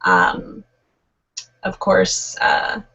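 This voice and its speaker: A woman's voice: mid-range voice, huge pitch swings, extremely slow, gravelly, sharp tone, breathy edge. A woman from California with a Californian accent, a student talking casually in an online discussion over a video call. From California, United States. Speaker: online course student, librarian. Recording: muffled lo-fi video call audio.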